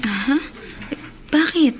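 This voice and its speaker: A woman acting as a gentle, reassuring companion in a Tagalog radio drama, with a soothing, persuasive delivery. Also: high-pitched, lively intonation, slow pace, a little hiss or hum.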